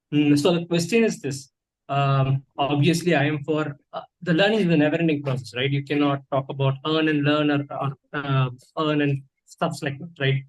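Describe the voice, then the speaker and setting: A man's voice: a little high, low-quality recording. A father asking questions hesitantly, with many fillers and restarts. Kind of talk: casual question.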